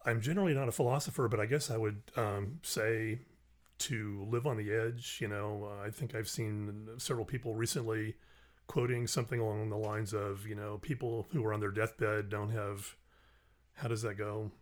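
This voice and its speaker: Easygoing low-voiced male guest. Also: fairly even intonation, normal speed. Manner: easygoing, self-deprecating. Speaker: guest musician and actor. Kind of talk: casual interview chat.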